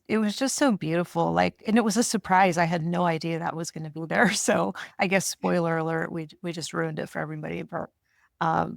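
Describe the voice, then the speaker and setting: A woman's voice: lowish voice, gravelly, no echo, clean studio sound. A woman hosting a conversational interview, speaking in an effusive, affirming way. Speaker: podcast host interviewing a guest.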